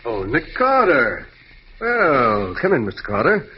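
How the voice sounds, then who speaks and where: An actor playing a blustering, falsely genial man under suspicion, with a husky voice, in an old radio drama. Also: mid-range voice, huge pitch swings, measured pace, full character voice. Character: blustering, falsely genial male crime suspect. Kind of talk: 1940s radio crime drama acting. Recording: muffled old radio recording.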